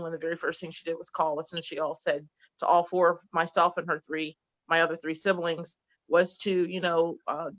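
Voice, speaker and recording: A woman's voice: on the low side, fairly bright tone, dry recording, poor audio. A woman hosting a panel discussion on a video call, chatty and encouraging, with frequent fillers. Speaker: host.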